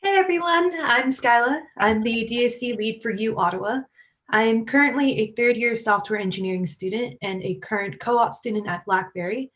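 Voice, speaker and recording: A woman in her twenties, a student club lead, introducing herself on a video call in a brisk, friendly way. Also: fairly high pitch, a bit bright.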